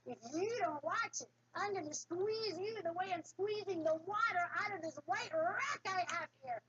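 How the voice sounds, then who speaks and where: A woman's voice: extremely high pitch, moderate pace, highs cut off, heavy background noise, pitched above the performer's own voice. A woman voicing a clever, cocky young man who bluffs a troll in a told folktale. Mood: bold. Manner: bold, bluffing.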